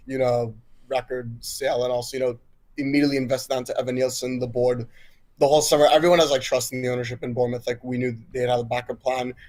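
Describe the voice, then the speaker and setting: A male caller with an international accent, American mixed with his Swiss upbringing. He talks in eager bursts, full of fillers, over a video call. His pitch sits mid-range. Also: a little mellow. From Switzerland. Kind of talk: casual football chat.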